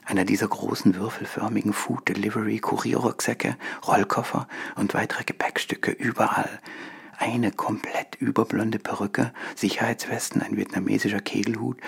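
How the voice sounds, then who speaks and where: A male narrator reads scene descriptions in a low, very husky voice. He stays flat and deliberate as he lists things, often slipping toward a whisper. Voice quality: breathy, husky, half-whispered. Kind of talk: scripted art-piece narration reading scene descriptions.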